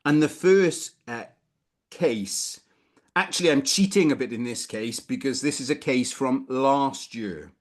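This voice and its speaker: A man's voice: mid-range voice, measured pace. A middle-aged man from Wales giving a conversational legal lecture over a video call, informal with bursts of emphasis. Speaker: barrister presenting a legal webinar. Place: small room indoors, video call.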